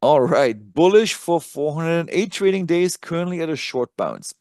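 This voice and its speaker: A man's voice: a little high, expressive, normal speed, darkish tone, no echo, clean studio sound. A native German-speaking man presenting casual live stock chart commentary, conversational and thinking aloud as he works. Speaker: trading analyst and presenter.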